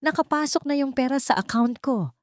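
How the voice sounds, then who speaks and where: A woman's voice: high voice, fairly quick, no echo, clean studio sound, voice pushed up, close to an everyday voice. A woman voicing a greedy, gullible Filipino man, an eager scheming son and husband in an audio story. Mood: gleeful.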